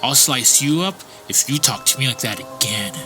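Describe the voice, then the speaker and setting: Surly mutant rat-man for audio drama, male actor; curt, threatening, music clearly underneath. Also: mid-range voice, expressive, moderate pace, natural voice. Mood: menacing.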